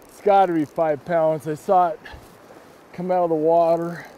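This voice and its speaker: Excitable middle-aged man outdoors. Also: fairly high pitch, normal speed, highs cut off, a little hiss or hum. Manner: excitable, exclaims often. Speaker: fly-fishing video host. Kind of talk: casual outdoor commentary. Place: outdoors on a riverbank.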